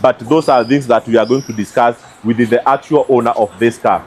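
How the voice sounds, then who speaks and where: A man's voice: mid-range voice, steady tone, brisk. A man presenting his vlog outdoors beside a car, talking chattily with many fillers. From Kenya. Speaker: vlog host.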